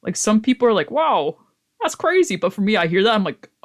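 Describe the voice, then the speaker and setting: This is a game streamer with a mid-pitched voice. The delivery moves between casual, slangy chat with some swearing and reading visual novel text aloud. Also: fast.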